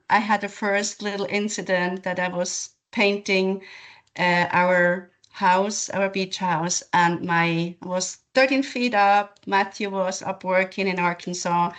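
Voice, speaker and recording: A woman from Switzerland, a guest telling her personal story in an unhurried way, with laughs and fillers. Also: medium pitch, fairly bright tone.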